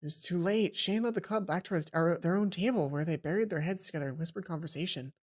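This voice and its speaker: A woman reading a visual novel aloud as a let's player, with expressive, dramatic storytelling. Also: on the low side, fast.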